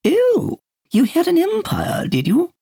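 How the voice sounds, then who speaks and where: A manic, giddy male patient, swooping high into a flamboyant sing-song with theatrical flourishes. His voice soars far above a deep reader's, and the dry, close sound keeps every gush near. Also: wildly swooping pitch, takes it slowly, vocal fry, mellow, dry recording, full character voice.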